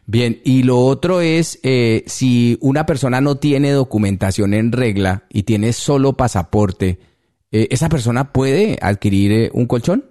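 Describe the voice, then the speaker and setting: A man's voice: mid-range voice, unhurried, dark tone. A man hosting a radio interview, asking questions and dropping brief "ujú" acknowledgements. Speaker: radio host.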